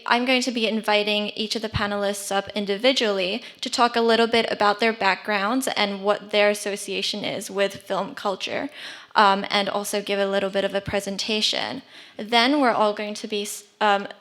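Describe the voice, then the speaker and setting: A woman's voice: mid-range voice, fairly bright tone. A woman moderating a panel, introducing the format and speakers in a friendly way with frequent ums and uhs. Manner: friendly, filler-laden. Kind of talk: event introduction. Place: event hall.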